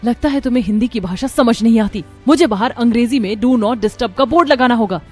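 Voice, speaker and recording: A woman acts out a haughty young sister-in-law in a cartoon family story. She is high-pitched, sharp and sarcastic, scolding indignantly over background music. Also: a little fast, lightly acted. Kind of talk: dramatized Hindi moral story cartoon.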